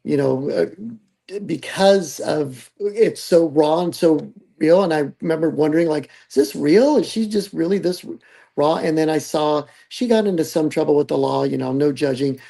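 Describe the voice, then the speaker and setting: Brief: woman podcast co-host, low gravelly husky voice, candid and emphatic. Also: mellow. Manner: candid and emphatic, halting restarts. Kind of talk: casual chat.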